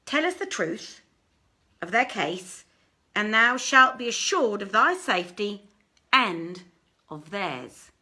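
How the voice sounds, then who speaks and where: Lady doing bossy robber brothers from an old tale, solemn old-timey talk, sharp and raised, really animated. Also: medium pitch, takes it slowly, pauses often, exaggerated voice. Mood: firm. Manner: forceful, solemn archaic phrasing. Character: band of male robber brothers, commanding, solemnly bargaining.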